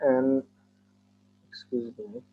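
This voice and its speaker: A man's voice: medium pitch, steady tone, very slow, husky. A young man in his twenties from Nepal, a native Nepali speaker, answering mock speaking-test questions in earnest, formal phrasing with frequent restarts. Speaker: test candidate. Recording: tinny phone-like call audio.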